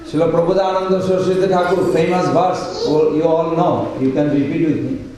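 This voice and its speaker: A man with a loud voice, giving an earnest devotional lecture with many pauses and restarts. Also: a little high, level tone. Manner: earnest, unhurried, with pauses and broken sentences. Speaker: speaker at a devotional study group. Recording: very echoey room, voice far from mic, faint hiss.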